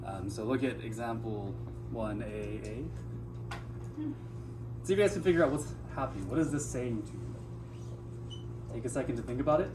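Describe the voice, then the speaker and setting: A man's voice: mid-range voice, normal speed, pauses often. A man teaching a math class, patiently explaining and asking his students questions, sounding a little out of breath. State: slightly out of breath. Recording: distant mic, noisy classroom.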